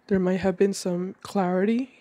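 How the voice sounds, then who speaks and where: A woman with a cold giving a calm tarot reading at a table indoors, slowing down as she names each card. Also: mid-range voice, no room sound. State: has a cold. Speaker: tarot reader.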